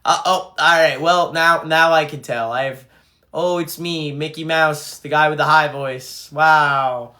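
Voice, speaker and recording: A young man talks casually on a phone livestream, reading out fan comments and chatting between them. His voice sits a bit high for a man, with a bright, forceful edge. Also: fairly even intonation, measured pace. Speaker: band frontman livestreaming to fans.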